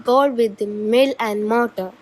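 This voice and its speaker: A woman's voice: on the high side, steady tone, measured pace, a little mellow. A woman reading scripted storybook narration for a shadow puppet show. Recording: noisy amateur recording.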